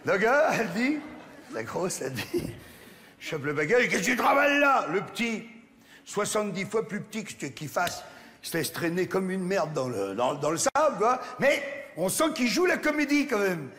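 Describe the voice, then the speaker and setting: Male stand-up comedian with a Champagne accent, high voice, crude and animated; live theatre echo, crowd. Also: moderate pace. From France, Champagne region. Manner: crude, animated, talks straight to the audience. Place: large theatre stage.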